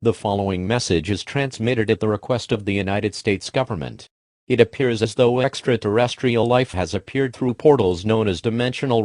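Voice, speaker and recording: A calm official announcer reads emergency alerts in a low, steady voice, as a man plays him. The delivery is formal and even, and the sound is dry and close. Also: dry recording, natural voice.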